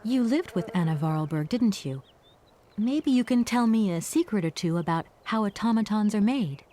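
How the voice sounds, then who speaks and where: An adventure game heroine played by an actress, polite and curious. Her voice is mellow and mid-pitched, with a coaxing, conversational delivery. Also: expressive, moderate pace, close to an everyday voice. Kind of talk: video game dialogue acting.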